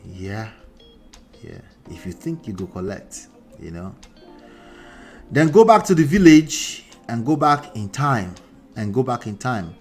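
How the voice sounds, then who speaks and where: A man with a Nigerian accent hosts a relationship livestream and reads viewer comments aloud. He repeats his points and swings from loud emphasis to low asides. Faint music plays behind him. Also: very animated, a little slow, pauses often. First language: Akure dialect. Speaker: relationship coach host.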